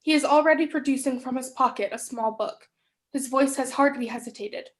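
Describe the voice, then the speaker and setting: A woman's voice: high-pitched, a little fast. A young woman reading stage directions as scripted narration in a play reading. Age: young adult.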